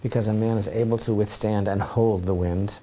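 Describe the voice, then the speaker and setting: Brief: man reading a sharp-witted young idol-smasher, wry and argumentative, mellow voice. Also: fairly low pitch, fairly even intonation, fairly quick, lightly acted. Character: clever young male idol-breaker, defiant.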